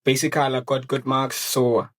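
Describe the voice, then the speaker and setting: A young man from South Africa with a South African accent, telling his story in a relaxed, slangy podcast chat. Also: medium pitch, moderate pace. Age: young adult. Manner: relaxed, slangy, says bro a lot. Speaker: podcast co-host. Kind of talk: casual chat.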